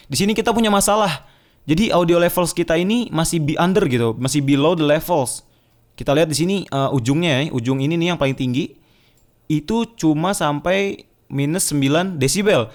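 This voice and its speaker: Higher-pitched Indonesian man, casual tutor. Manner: informal, talks to viewers, mixes in English terms. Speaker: audio editing tutorial host. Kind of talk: casual software tutorial narration.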